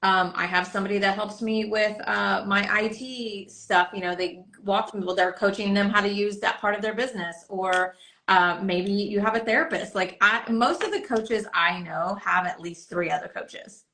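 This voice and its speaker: Woman coach hosting a live stream, kinda gravelly voice, rambly and chatty, podcast mic at home. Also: mid-range voice, fairly even intonation, normal speed, pushed and bright. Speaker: host and coach. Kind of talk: casual live-stream chat. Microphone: desktop podcast microphone.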